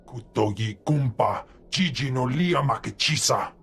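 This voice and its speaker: An actor voicing a stern, husky alien master in a video game, talking in an invented alien tongue. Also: male voice, medium pitch, lively intonation, a little slow, a bit bright. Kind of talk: video game creature dialogue.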